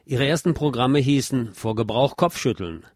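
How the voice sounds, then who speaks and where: A man presenting a music history programme in scripted, measured and articulate documentary narration. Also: mid-range voice, expressive, dry recording, clean studio sound. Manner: measured, articulate lecturing delivery.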